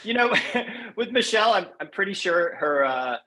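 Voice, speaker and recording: A man speaking as a guest poet in a measured, reflective, slightly hesitant way, as at a reading or interview. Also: high voice, very animated, bright, forceful tone. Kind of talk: poetry reading and conversational interview.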